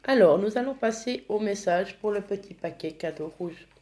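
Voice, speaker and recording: A woman giving a spiritual oracle card reading, speaking slowly and gently, slightly congested from a cold. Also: medium pitch. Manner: slow, gentle, reads card texts aloud.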